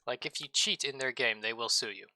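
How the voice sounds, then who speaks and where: A man with a very bright, ringing voice at an ordinary pitch, chatting casually while playing a game. He rambles and jokes, tossing off absurd asides in an easygoing way. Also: fairly quick. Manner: joking, rambling, playfully absurd. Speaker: streamer co-host. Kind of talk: casual gaming chat.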